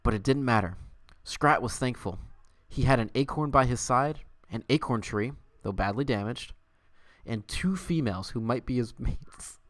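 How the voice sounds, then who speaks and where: Male livestream host, playful and theatrical reader, medium pitch, very dry close sound. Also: animated, moderate pace, a bit bright, no echo. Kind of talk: dramatic fan fiction reading and casual stream chat.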